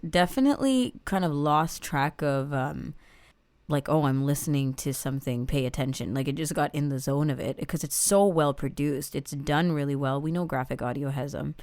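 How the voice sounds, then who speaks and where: A woman's voice: low voice, a little mellow, dry recording. A woman co-hosting a casual review chat, informal and inquisitive, thinking aloud as she goes. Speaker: audiobook review show co-host.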